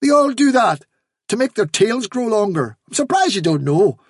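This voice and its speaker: A man voicing an eager, deluded rabbit witness from a storybook, insistent and quick to sulk. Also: high voice, big pitch swings, slightly rough, no echo, voice pushed up, full character voice. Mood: insistent, sulky. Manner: eager, insistent, sulky when doubted. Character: eager, deluded male rabbit witness, servile and easily indignant. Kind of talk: storybook character reading.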